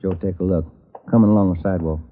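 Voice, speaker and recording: A tinny vintage radio recording carries a calm police detective played by a man. His voice is low, patient and procedural, a low-key partner on the case. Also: fast talker, soft background music, close to an everyday voice. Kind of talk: 1940s radio drama acting.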